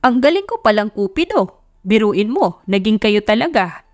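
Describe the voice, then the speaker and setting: A woman's voice: mid-range voice, big pitch swings, normal speed, no echo, studio quality, soft background music, lightly acted. Woman putting on a jovial old grandfather. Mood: amused. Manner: jovial, self-satisfied. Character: amused, scheming old grandfather.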